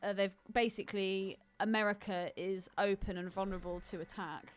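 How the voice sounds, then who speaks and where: A woman's voice: medium pitch, steady tone, moderate pace, faint music behind. A woman co-hosting a podcast, chatting casually and bluntly, often questioning what she hears. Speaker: podcast co-host. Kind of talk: casual unscripted film podcast chat.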